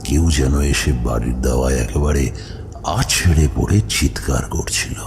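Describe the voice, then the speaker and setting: Grave mystic storyteller played by a man, extremely low voice, slow and ominous, for horror audio drama. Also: creaky, music in the background, full character voice. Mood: grave, suspenseful. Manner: ominous, deliberate, with dramatic pauses. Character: grave tantric mystic recounting his own eerie past.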